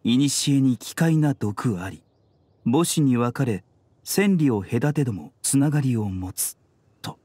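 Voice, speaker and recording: An actor playing a composed, courteous young male physician in an anime game, polite, measured and gently enigmatic. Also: mid-range voice, animated, husky, no room sound. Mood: grave. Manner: polite, measured, softly teasing.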